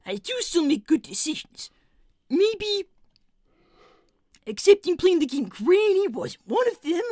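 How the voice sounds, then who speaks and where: A woman's voice: very high voice, sing-song, unhurried, warm tone, dry recording, studio quality, exaggerated voice. A woman voicing a nervous, earnest young male fox who stammers and rambles while streaming live. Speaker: livestream character performer. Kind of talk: live roleplay stream with chat.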